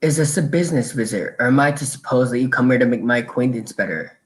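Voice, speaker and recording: A man plays a police inspector in a radio drama. He speaks. His manner is formal and deliberate, proud and faintly condescending. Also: medium pitch, expressive, lightly acted. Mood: smooth, knowing. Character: proud, suave French police chief inspector.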